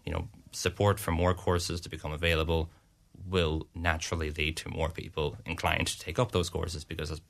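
Very deep, gravelly young Irish man. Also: flat delivery, a bit bright. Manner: halting, many restarts and fillers. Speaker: student union representative. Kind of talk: radio interview answers.

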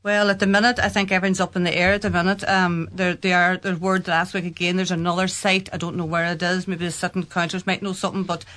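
A woman with a Donegal Irish accent, a local election candidate giving her campaign pitch and answering on a panel in an earnest, emphatic way. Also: level tone, fast talker, few pauses, fairly bright tone. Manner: earnest, emphatic, repeats phrases when answering.

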